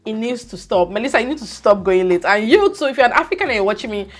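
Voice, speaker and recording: A young woman from Nigeria with a Nigerian accent, talking to her viewers in a casual, animated and scolding vlog monologue. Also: fairly high pitch, quick pace, soft background music. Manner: animated, scolding, pidgin words mixed in. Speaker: vlogger.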